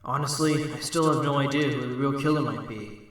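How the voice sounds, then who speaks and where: A man's voice: medium pitch, fairly quick, natural voice. A man playing a determined, wry fox defense lawyer in a courtroom audio drama, speaking earnestly. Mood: thoughtful.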